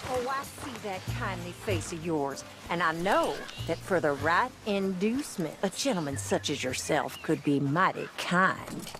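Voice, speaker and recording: An actress playing a fierce, bossy, middle-aged outlaw woman in a video game, with brisk, commanding, folksy speech. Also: lowish voice, expressive, over music. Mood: coaxing. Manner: brisk, commanding, folksy old-fashioned phrasing. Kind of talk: dramatic video game acting.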